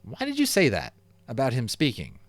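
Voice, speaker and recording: A man's voice: mid-range voice, huge pitch swings, moderate pace, dry recording. A man reading a children's book aloud, voicing a teasing, disbelieving young schoolboy who keeps pushing with skeptical questions. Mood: pushy, disbelieving. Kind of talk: storybook character voice.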